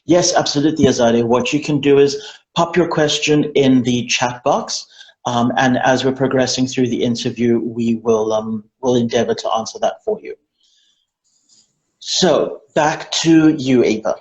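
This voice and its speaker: A man's voice: medium pitch, fairly even intonation, normal speed. A man hosting an online interview over a video call, chatty and effusive, with many fillers and restarts. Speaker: interview host. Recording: dull, echoey video call.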